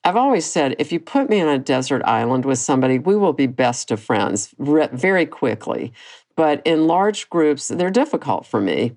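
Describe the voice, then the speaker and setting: A woman in her sixties hosting a personal podcast, talking candidly and reflectively with a low voice that does not carry, often restarting her phrases. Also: big pitch swings, normal speed, a little mellow, low-quality recording. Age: 60s. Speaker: podcast host.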